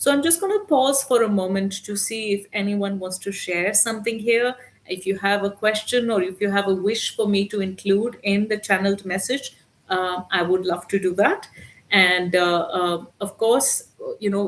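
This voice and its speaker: A woman hosting a live spiritual channeling stream, speaking softly and gently with many hesitant fillers. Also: mid-range voice, moderate pace.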